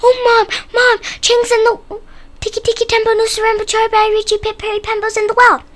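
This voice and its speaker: Frantic small boy for a storybook, played by a woman: very high, urgent, breathless. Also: brisk, fairly bright tone. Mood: frantic pleading. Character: frantic little boy crying out for help.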